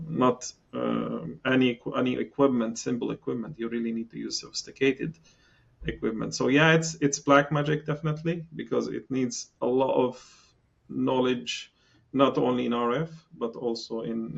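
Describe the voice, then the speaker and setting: Middle-aged guy from palestine, gravelly husky voice, lots of uhs and restarts, bit muffled. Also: mid-range voice. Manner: hesitant, many fillers and restarts. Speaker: engineering guest. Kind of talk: podcast interview.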